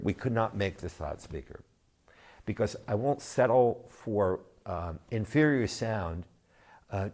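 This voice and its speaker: A man presenting his company's product in an unscripted way, speaking in a measured, halting manner with deliberate pauses and emphasis. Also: on the low side, a little mellow.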